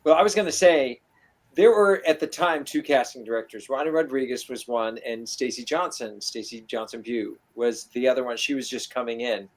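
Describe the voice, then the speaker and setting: A man chatting at a reunion and telling animated stories about old castmates, acting out what people said. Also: medium pitch, moderate pace, rough recording. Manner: animated storyteller, acts out quotes. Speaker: guest, former performer. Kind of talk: casual reunion chat.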